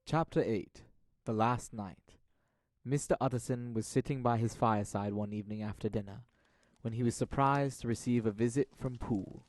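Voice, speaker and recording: A man's voice: mid-range voice, moderate pace, darkish tone, dry recording. A man reading scripted narration for an audio drama as announcer and storyteller.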